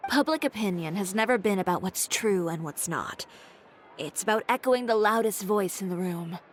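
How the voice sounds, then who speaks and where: A woman's voice: medium pitch, a little hiss or hum, natural voice. A woman playing a proud, composed, strong-willed young fashion designer in a video game, curt and self-assured.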